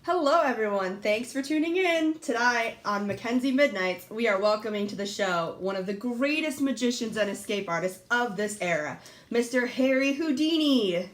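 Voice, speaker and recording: A woman's voice: fairly high pitch, lively intonation, normal speed. A young woman hosting a scripted mock talk-show interview in an upbeat, read-from-script manner. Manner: upbeat, reads questions from a script. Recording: echoey room.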